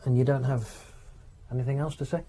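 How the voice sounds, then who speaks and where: A man playing a coldly reasonable, patronising prison official in a radio drama, probing and advising with measured authority. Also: medium pitch, steady tone, dark tone, a little hiss or hum, close to an everyday voice. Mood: exasperated.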